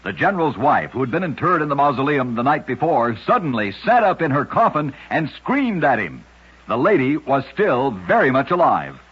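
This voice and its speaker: A man narrating strange true stories in a scripted old-time radio style, with a dramatic, measured delivery. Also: medium pitch, big pitch swings, husky. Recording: dull, lo-fi old radio recording.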